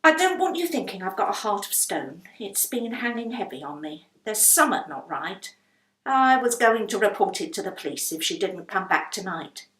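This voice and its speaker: A woman's voice: mid-range voice, big pitch swings, moderate pace, fairly bright tone, echoey room, rough recording. A woman voicing a chatty, blunt working-class London landlady in a children's storybook. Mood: guilty, fond. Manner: chatty, blunt, colloquial non-standard grammar.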